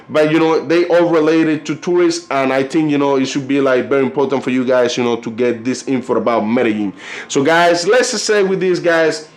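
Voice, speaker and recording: A man from Colombia with a Colombian Spanish accent, a native Spanish speaker talking casually to camera as a vlogger, rambling with lots of fillers and stumbling when he reads aloud. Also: fairly high pitch. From Cartagena, Colombia. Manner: loose, rambling, constant "you know" and "like" fillers; halting when reading aloud. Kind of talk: casual video chat.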